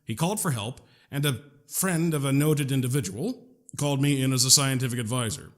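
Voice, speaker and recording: A man playing a sardonic, well-spoken gentleman scientist in an audio drama, with a wry, wordy, formal manner. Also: mid-range voice, brisk, close to an everyday voice. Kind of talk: scripted audio drama acting.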